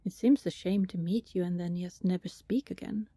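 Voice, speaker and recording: Woman doing a playful vampire neighbour for asmr, soft and mellow, dry close sound, chatty teasing. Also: on the low side, steady tone, normal speed, dry recording. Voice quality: soft, breathy, often slipping toward whisper. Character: friendly teasing female vampire neighbour, secretly immortal. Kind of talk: ASMR roleplay, intimate one-sided conversation with listener.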